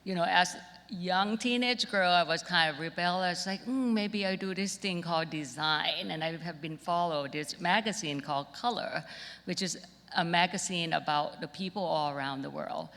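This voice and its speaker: A woman's voice: lowish voice, moderate pace, vocal fry, fairly bright tone. A middle-aged woman from Thailand with a Thai accent, giving an informal, conversational keynote built on personal stories. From Bangkok, Thailand. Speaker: keynote speaker, creative director. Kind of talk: conference keynote, personal storytelling. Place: large auditorium stage. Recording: reverberant auditorium with long echo.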